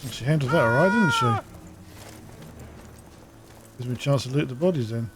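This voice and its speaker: Man, mid-pitched voice, casual gameplay commentary, faint noise and game ambience behind. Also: lively intonation, moderate pace. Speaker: gamer playing through a quest.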